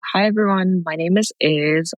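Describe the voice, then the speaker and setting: A woman's voice: lowish voice, moderate pace, no echo, clean studio sound. A young woman from Haiti and Canada, a podcast guest, talking about her background in a casual, rambling way. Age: young adult. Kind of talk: casual interview talk.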